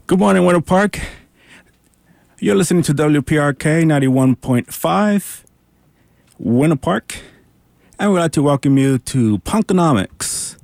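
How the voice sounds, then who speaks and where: A young man hosting a college radio show, introducing it in an upbeat, announcer-like way that turns a bit halting as he goes. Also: on the high side, animated, gravelly, darkish tone, no room sound, clean studio sound. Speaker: student radio show host.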